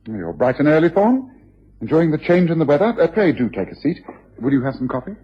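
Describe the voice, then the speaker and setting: An actor playing a keen, urbane, wry English gentleman detective in a radio drama, with a British accent. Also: fairly high pitch, big pitch swings, a little fast, slightly rough. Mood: brisk, courteous. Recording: muffled, hazy old radio drama recording.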